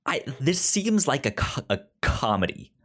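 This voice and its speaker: A man hosting a casual podcast, talking in an animated way with frequent restarts and repeated words. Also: mid-range voice, measured pace. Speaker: podcast host. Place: indoors, in a jacket.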